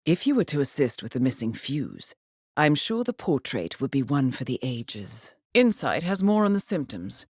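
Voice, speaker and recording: A woman voicing a composed middle-aged intelligence handler in a video game, measured and formal with dry understatement. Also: rumbling low, sing-song, darkish tone, husky, dry recording, exaggerated voice. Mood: measured, businesslike. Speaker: mission handler. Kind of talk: scripted game voice acting, mission briefing.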